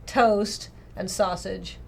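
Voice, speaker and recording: A woman reading a visual novel aloud on a livestream in an expressive, casual way, often breaking off into playful asides. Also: medium pitch, drawn-out words, vocal fry.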